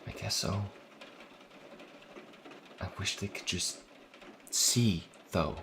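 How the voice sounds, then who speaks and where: Man acting a deep-voiced, earnest young priest. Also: creaky, bright, forceful tone, slight background noise, heavily acted. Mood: troubled. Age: young adult. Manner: hesitant, halting, earnest. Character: earnest, anxious young male priest healer. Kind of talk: audio drama acting.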